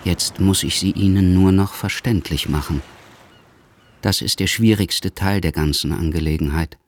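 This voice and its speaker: An actor narrating a literary radio play in a sombre, measured, scripted manner. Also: male voice, deep voice, a little hiss or hum, close to an everyday voice.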